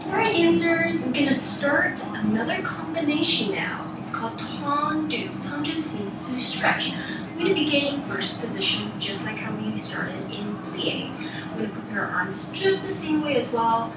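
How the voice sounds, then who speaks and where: A woman's voice: high-pitched, lively intonation, moderate pace, heavy background noise. A woman teaching a ballet class, giving encouraging explanations and short rhythmic cues and counts. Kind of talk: instructional dance class, calling counts and cues. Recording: very echoey room, distant mic, loud music. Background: loud music.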